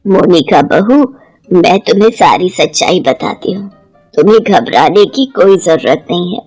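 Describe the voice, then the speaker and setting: A woman voicing an older mother-in-law who is gentle, wise and protective, with a measured, reassuring manner, in a story. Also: lowish voice, animated, husky, soft background music, voice pushed down, poor audio.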